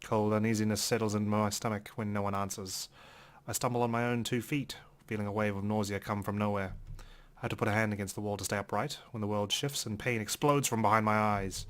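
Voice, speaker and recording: A man hosting a let's play, reading a visual novel aloud in a measured, theatrical way. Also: on the low side, steady tone, a bit bright. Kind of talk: dramatic read-aloud narration of a visual novel with commentary.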